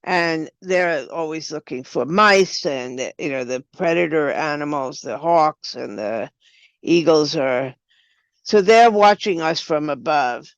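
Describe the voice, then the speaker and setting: An older woman with a low, smooth voice, speaking conversationally as an interview guest about her work. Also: lively intonation, unhurried, no room sound, highs cut off. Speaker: guest, writer and director.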